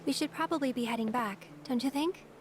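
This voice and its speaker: An actress playing a gentle, wistful young woman in a video game, speaking softly and reflectively. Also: high voice, brisk, lightly acted. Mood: polite, composed. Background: game background music.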